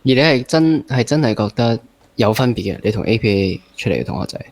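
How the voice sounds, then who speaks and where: A man's voice: mid-range voice, lively intonation, quick pace. A man with a Hong Kong accent hosting a casual interview, probing and rephrasing at length with piled-up examples. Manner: rephrases at length, piles up examples, mixes in English. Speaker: interview host.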